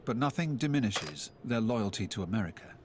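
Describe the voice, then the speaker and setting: A man narrating a historical documentary in a measured, solemn scripted delivery, recorded as a studio voice-over. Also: lowish voice, lively intonation. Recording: narration under loud music score.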